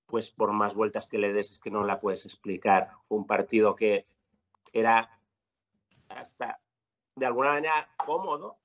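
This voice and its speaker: A man's voice: fairly low pitch, measured pace, a bit bright. A man from Spain, a guest on a podcast, talking casually over a video call with many fillers and restarts. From Spain, born in Zaragoza, raised in Girona. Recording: slightly dull, lo-fi call audio.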